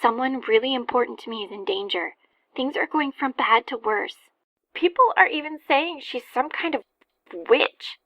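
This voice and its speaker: A woman's voice: high-pitched, normal speed, dry recording, lo-fi sound. A woman playing an anxious young woman who urgently pleads for help in an adventure game. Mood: urgent pleading. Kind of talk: game voice acting.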